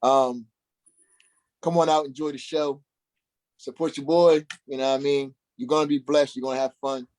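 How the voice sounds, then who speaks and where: A man with an average-pitched voice talks as a guest actor in an interview. His speech is casual and conversational, with slang and frequent check-in phrases. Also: fairly even intonation, normal speed, pauses often, a little mellow. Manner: conversational, slangy, uses "you know" often. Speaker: stage play cast member, interview guest. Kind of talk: casual chat.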